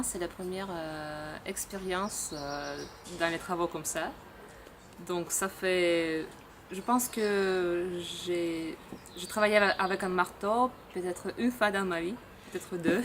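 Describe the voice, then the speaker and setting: A young woman from Russia leading a volunteer camp, chatting to camera with many pauses and fillers. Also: lowish voice. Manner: hesitant, many fillers, laughs often. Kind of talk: casual chat to camera. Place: outdoor boat workshop. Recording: noisy outdoor ambience.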